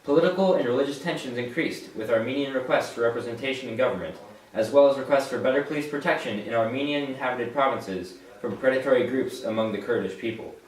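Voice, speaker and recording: A man's voice: mid-range voice, quick pace, few pauses. A young man reading a scripted news report as a student anchor. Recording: echoey room, distant mic.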